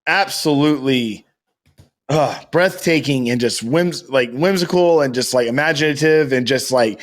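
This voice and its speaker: A man's voice: mid-range voice, moderate pace. An American man chatting casually as a podcast co-host, rambling and emphatic, with slangy talk and free swearing.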